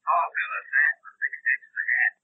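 An actor playing a gruff, clipped policeman through a telephone filter, in an old 1940s radio drama recording. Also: a little fast, narrow, tinny audio. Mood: gruff, businesslike.

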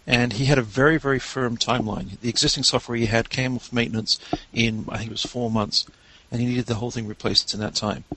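English guy, guest storyteller, wry and relaxed, lots of ums. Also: mid-range voice, fast talker, few pauses, slight background noise, low-quality recording. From England. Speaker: guest author. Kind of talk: casual interview chat.

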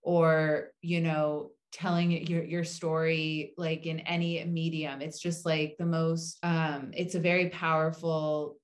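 A woman of about thirty with a notably low voice leads a panel in a casual, earnest way. She stutters lightly, catching on first sounds before going on. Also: little pitch movement, slow pace, hardly any pauses. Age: around 30. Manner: person who stutters, with part-word repeats. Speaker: moderator.

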